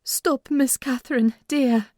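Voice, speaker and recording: A stern, motherly older housekeeper, as a woman reader voices her, speaking in a fairly high, firm voice. She lectures slowly and deliberately, in a close, dry reading from a storybook. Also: steady tone, a little mellow, no room sound. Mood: reproving. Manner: firm, reproving, measured.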